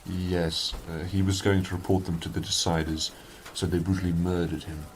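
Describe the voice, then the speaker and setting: A man's voice: low voice, level tone, normal speed, a little hiss or hum, lightly acted. A man playing an earnest, anxious informant in a radio drama, speaking formally and carefully with some urgency. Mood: uneasy, urgent. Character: anxious, earnest male informant from a political brotherhood.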